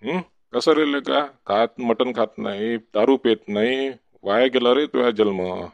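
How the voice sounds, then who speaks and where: A man's voice: mid-range voice, measured pace, gravelly, no room sound, highs cut off. A man playing a pushy, boastful drunkard uncle in a village cartoon, rambling and cajoling with a Varhadi Marathi accent. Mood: scornful, boastful. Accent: Varhadi Marathi (Vidarbha). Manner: rambling, cajoling, repetitive tag questions. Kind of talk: comic village cartoon dialogue.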